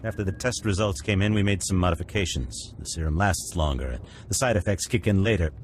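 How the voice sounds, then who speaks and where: A man playing a businesslike, deferential henchman scientist, brisk and matter-of-fact in a scripted game cutscene. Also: deep voice, soft background music, natural voice.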